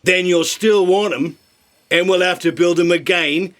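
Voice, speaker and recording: An actor playing a thuggish bloodsucker creature who collects debts, talking in slangy, menacing tough talk in a comic audio drama. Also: male voice, high voice, a little slow.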